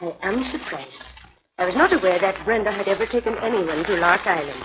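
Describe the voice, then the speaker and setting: A woman's voice: mid-range voice, expressive, brisk, lightly acted. An actress playing a poised, scheming aristocratic woman who speaks formally, in a 1940s radio drama with a lo-fi sound. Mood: poised, probing. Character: smooth, scheming aristocratic female crook.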